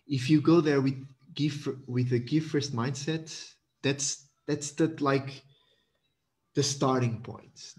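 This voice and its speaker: Guest on a call, thirties, keen, halting, repeats words, mid pitch. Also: male voice. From Portugal. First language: Portuguese. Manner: enthusiastic, repeats words, many fillers and restarts. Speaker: guest, community manager. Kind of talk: video-call talk.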